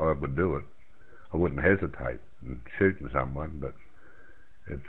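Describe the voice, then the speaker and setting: An older Australian man chatting casually over amateur radio in a rambling, plain-spoken way, repeating himself as he goes. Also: a bass voice. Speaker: amateur radio operator in a round-table chat. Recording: narrow, tinny radio transmission.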